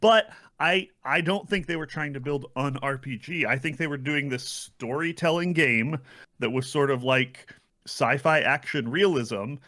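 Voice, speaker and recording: A man co-hosting a gaming podcast, talking casually and analytically in a measured, reflective manner. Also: fairly high pitch, pushed and bright. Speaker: podcast co-host. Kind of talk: casual analytical game discussion.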